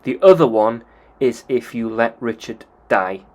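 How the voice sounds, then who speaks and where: A man calmly explaining video game story triggers in a methodical, plain way. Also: medium pitch, a little slow, noisy background.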